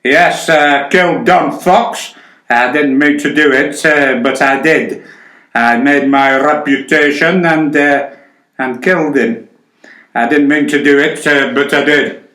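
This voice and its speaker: An actor playing a muddled, guilt-ridden elderly man in a radio play, halting and repeating himself. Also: a little high, steady tone, sharp tone. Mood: muddled remorse. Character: confused, guilt-ridden elderly male sports commentator under medical care. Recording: roomy indoor echo.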